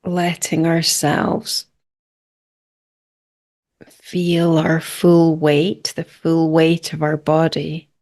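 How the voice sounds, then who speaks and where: Slow, low-voiced woman guiding meditation. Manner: calm, unhurried, hushed phrases with long pauses. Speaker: meditation guide. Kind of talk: guided meditation, improvised.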